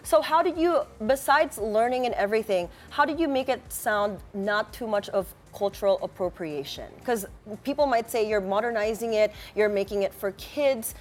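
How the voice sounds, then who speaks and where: A woman's voice: fairly high pitch, expressive, moderate pace. A woman interviewer asking questions in a casual, enthusiastic way.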